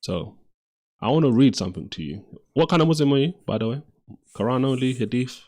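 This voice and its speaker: A man's voice: mid-range voice, animated, brisk, dark tone. A man hosting a live call-in debate stream, blunt and pressing with his questions, often repeating himself. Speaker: livestream debate host.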